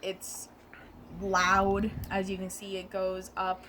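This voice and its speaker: A woman's voice: mid-range voice, monotone, slow, noisy background. A woman giving a casual, chatty hands-on product demo, correcting herself as she goes. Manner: informal, chatty, self-correcting. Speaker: product reviewer.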